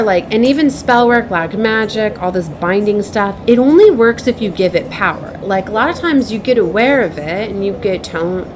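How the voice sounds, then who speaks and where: A woman giving an improvised spiritual message as a tarot reader, speaking in a halting, repetitive, earnest and cautioning way. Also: medium pitch, noisy background. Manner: halting, repeats herself, circles back.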